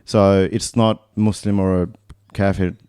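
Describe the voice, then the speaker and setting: A man's voice: low voice, level tone, unhurried, mellow, clean tone, no room sound, clean studio sound. A man in his thirties from Bangladesh, a podcast guest talking casually in a thoughtful, meandering way that often circles back and corrects itself. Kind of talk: casual conversation.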